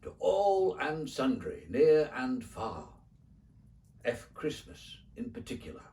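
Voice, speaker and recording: A man's voice: mid-range voice, wildly swooping pitch, slow, warm tone, exaggerated voice. A man plays a lonely storybook king, childish and self-pitying. His pleas swing widely up and down in emphatic sing-song verse. The sound is a little distant from the mic. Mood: grandly composing.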